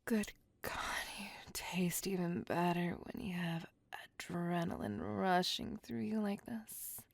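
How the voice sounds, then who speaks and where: Woman acting as a female vampire, breathy ASMR whisper, very slow, crackling fry, seductive, possessive, courtly. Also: fairly low pitch, fairly even intonation, no room sound, exaggerated voice. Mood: hungry, breathless. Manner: slow, intimate, formal, coaxing endearments. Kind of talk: ASMR roleplay.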